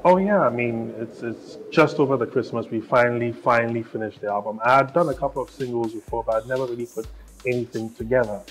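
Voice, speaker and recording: A man from Ghana, a musician and actor giving relaxed answers as an interview guest. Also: medium pitch, quick pace, a little hiss or hum, poor audio.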